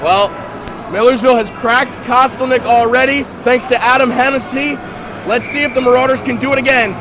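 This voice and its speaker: A young man calling live hockey play-by-play, his voice a little raspy from a weekend of games. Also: very high-pitched, steady tone, brisk. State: slightly raspy from three games. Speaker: play-by-play commentator. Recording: loud crowd and arena noise.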